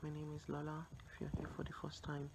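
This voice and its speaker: Woman, 30s, deep-pitched, casual vlogger with halting delivery; handheld phone sound with clear background noise. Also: completely flat delivery. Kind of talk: casual vlog chat. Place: small indoor rooms at home, kitchen. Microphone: phone at arm's length. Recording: noisy handheld phone recording with room ambience.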